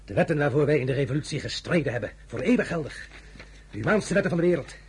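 Napoleon, swooping and grandiose. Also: male voice, on the high side, animated, quick pace, breathy edge. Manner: grandiloquent, teasing, theatrical. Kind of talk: Dutch radio drama acting.

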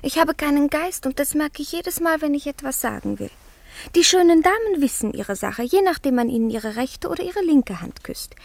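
A woman's voice: sits high, animated, moderate pace, creaky, dry recording. An actress playing a naive, plain-spoken young peasant girl with simple, shy candour in a radio play. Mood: candid, wistful. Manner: simple, artless. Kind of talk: classic radio play acting.

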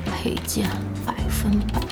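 A woman playing a feisty, impatient internet cafe boss in an audio drama, blunt and expressive. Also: takes it slowly, over loud music, natural voice. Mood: curious. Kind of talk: Chinese audio drama acting.

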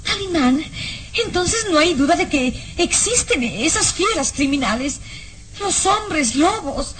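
A startled young lady in an old radio play, her high voice leaping about as she gasps and questions. She sounds easily alarmed and keeps pressing for answers. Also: expressive, slow pace, fairly bright tone, heavily acted, low-quality recording. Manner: breathy exclamations, anxious questions. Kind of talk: vintage radio drama acting.

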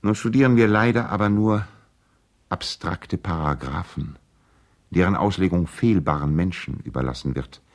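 An actor playing a courteous, idealistic male lawyer in a radio play, earnest and gently ironic, speaking in a formal, measured, eloquent way. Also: low-pitched. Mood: earnest idealism. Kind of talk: radio drama letter monologue.

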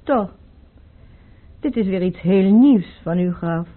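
An actress playing a proud, cool baroness, a ruler's mistress, in a Dutch radio play. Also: female voice, mid-range voice, expressive, slow. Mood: cool, affronted. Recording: muffled, band-limited old radio recording.

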